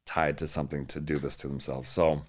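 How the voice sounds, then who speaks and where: A man's voice: very deep voice, little pitch movement, a little fast, husky. A young man from Hawaii, a fitness coach, chatting easily as a podcast guest and laughing often. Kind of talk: casual interview chat.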